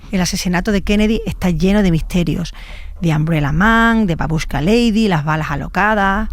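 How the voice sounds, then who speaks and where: A woman's voice: on the low side, a little mellow, dry recording. Woman narrating a documentary. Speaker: journalist narrator of documentary podcast. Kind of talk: scripted narration.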